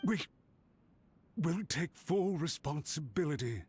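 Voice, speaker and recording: An actor playing an anxious, deferential servant official in a video game, nervous and apologetic toward a superior. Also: male voice, fairly high pitch, animated, slow pace, darkish tone, slightly rough, exaggerated voice. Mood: anxious pleading.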